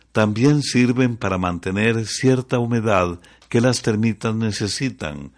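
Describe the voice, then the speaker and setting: A man co-hosting an educational radio program, giving clear explanations. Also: fairly low pitch.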